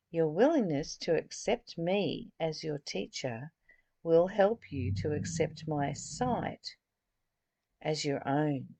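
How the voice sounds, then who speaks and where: A woman leads an informal spiritual study in a low voice with noticeable fry. She takes her time, moving between deliberate reading and chatty commentary. The sound is close and dry. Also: warm tone, dry recording. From Australia. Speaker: spiritual study host reading and explaining a book.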